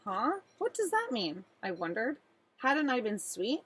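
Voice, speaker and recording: A woman voicing an earnest little girl who tells her own storybook tale in an expressive, pleading way. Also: on the high side, unhurried, slightly rough, heavily acted. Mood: wistful, puzzled. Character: earnest little girl narrator with a sweet tooth.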